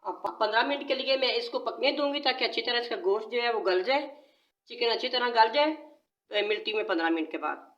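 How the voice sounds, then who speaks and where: A woman with a sore throat walks through a recipe step by step, repeating points patiently. Her voice sits a little on the high side. The sound bounces around a roomy home kitchen. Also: brisk, fairly bright tone, muffled recording. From Pakistan. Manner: patient, repetitive explaining. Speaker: cooking channel host. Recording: echoey room.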